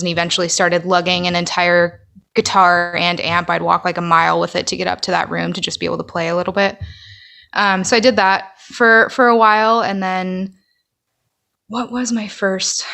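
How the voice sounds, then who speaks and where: A woman's voice: on the low side, creaky, bright, forceful tone. A woman with an American accent chatting casually as a musician guest on a podcast. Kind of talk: casual conversational interview answers.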